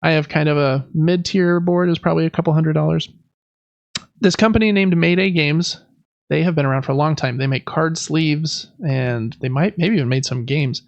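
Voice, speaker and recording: A man's voice: fairly high pitch, normal speed, vocal fry, dry recording, dull audio. A man chatting casually and enthusiastically as a podcast host. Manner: enthusiastic, informal. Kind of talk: casual board game podcast chat.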